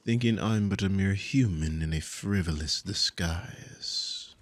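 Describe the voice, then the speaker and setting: A man's voice: deep voice, vocal fry, husky, full character voice. A man playing a dominant, possessive demon in an ASMR roleplay, mockingly amused and condescending, with teasing, formal, theatrical speech. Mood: mocking, menacing. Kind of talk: ASMR audio roleplay spoken to the listener.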